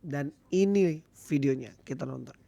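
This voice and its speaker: A hoarse man with an Indonesian accent, giving casual commentary to his viewers as a video host. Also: fairly high pitch, normal speed, dark tone. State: hoarse, recovering from illness. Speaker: YouTube host.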